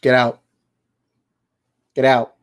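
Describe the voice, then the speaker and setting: A man hosting a casual live movie chat, his voice hoarse and worn out, rambling and correcting himself as he goes. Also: mid-range voice, completely flat delivery, extremely slow. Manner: rambling, self-correcting, laughs easily. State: hoarse, tired voice. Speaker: livestream host.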